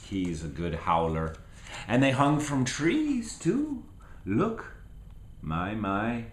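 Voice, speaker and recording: A man reads a children's picture book to his little one in a theatrical, playful way. His pitch swings widely as he draws words out at a very slow pace, pausing for asides. The room is echoey around him. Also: wildly swooping pitch, slight background noise. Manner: theatrical and playful, with drawn-out words, gasps and sound effects. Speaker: father reading a picture book aloud to his small child. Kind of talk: animated storybook reading with asides to a child.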